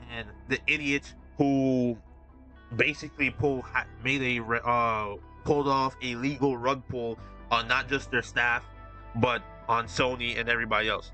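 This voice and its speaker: A young man streaming a game, commenting live in an excitable, profane rant full of repeats and restarts. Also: medium pitch. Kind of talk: ranting live gameplay commentary.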